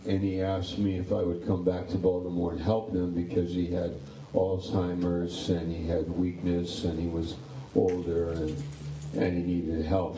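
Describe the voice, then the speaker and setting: A man's voice: deep voice, mellow, roomy sound, recorded from a distance, slight background noise, poor audio. A pastor in his sixties chatting casually about his ministry, rambling and repeating words. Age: 60s. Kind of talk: casual interview chat.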